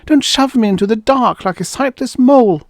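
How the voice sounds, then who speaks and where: A man's voice: normal speed, no room sound, studio quality. A man voicing an anguished, fragile wife in a story, pleading in a strained, desperate way. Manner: pleading, strained, high-pitched put-on female voice.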